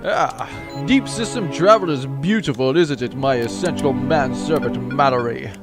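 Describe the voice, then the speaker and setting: A man's voice: medium pitch, unhurried, loud music behind the voice, natural voice. A man playing a vain, pompous space commandant in a comedic audio drama, with a flowery, self-important way of talking. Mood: dreamy, pompous.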